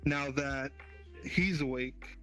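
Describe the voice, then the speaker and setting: A man's voice: mid-range voice, slow pace, a bit bright. A fallen angel who has pledged himself to humanity, voiced by a man in an online roleplay, speaking earnestly in an everyday middle voice. Faint music sits in the background. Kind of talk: voice-chat roleplay.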